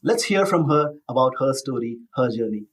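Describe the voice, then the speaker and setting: A man hosts a podcast interview in a voice of middling pitch. He sounds upbeat and emphatic, stretching out key phrases as he guides the talk. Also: animated, normal speed. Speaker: podcast host.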